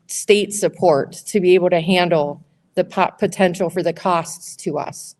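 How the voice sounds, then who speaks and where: A woman, a state senate candidate, gives a prepared speech at a town hall in a school auditorium, loud and methodical as she works through her questions. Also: lowish voice.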